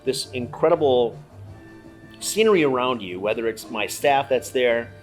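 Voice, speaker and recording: Middle-aged man, measured and dry-humored. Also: medium pitch, lively intonation, faint music behind. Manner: measured, dryly joking. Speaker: host and bar owner. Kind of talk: casual chat. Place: bar.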